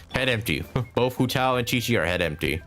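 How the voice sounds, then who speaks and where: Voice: mid-range voice, animated, normal speed, a bit bright, faint music behind. A game streamer giving casual, giggly live commentary while musing aloud.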